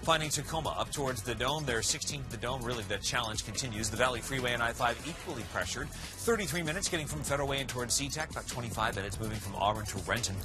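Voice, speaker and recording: A man reports the traffic at a quick clip, in clipped phrases full of road jargon. Music plays clearly behind him. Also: mid-range voice. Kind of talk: rapid-fire traffic report.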